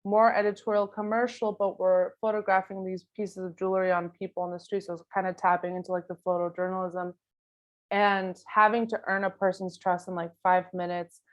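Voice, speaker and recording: A woman's voice: medium pitch, flat delivery, brisk, muffled recording. A woman guest photographer answering interview questions casually and informally, thinking aloud with plenty of filler phrases. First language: not Spanish; Spanish is second language. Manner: informal, thinking aloud, frequent "like" and "you know". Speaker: guest photographer giving an artist talk.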